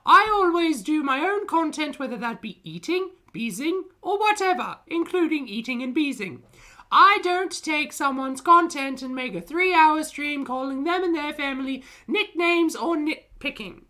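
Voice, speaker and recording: A woman's voice: high-pitched, expressive, normal speed, pushed and bright, pitched above the performer's own voice. A woman voicing an indignant, self-righteous female vlogger in a declamatory, mock dramatic reading. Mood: defensive, indignant.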